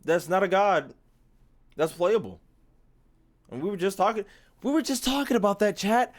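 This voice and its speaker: A man's voice: sits high, moderate pace. A young man giving casual, rambling livestream gaming commentary, slangy and full of swearing. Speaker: game streamer.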